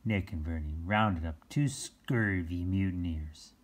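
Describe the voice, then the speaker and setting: Children's book reader, low husky voice, leisurely pace, exclaims and explains words along the way. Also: slow. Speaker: children's book read-aloud host. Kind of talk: reading a picture book aloud with playful asides.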